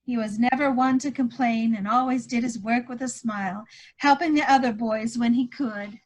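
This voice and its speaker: A woman performs a worried 1940s woman holding on to hope, her voice high. She speaks earnestly and fretfully, as in a stage drama read aloud. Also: steady tone, moderate pace, lightly acted, poor audio. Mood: earnest, wistful. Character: anxious, hopeful wartime woman clinging to news of missing airman. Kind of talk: one-act play table read. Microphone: video call.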